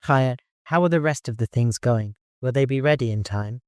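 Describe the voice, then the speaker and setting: A man voicing a kindly father and caravan leader in an audiobook, courteous, gently humorous and easily flustered. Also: mid-range voice, moderate pace, clean tone, dry recording, studio quality, close to an everyday voice.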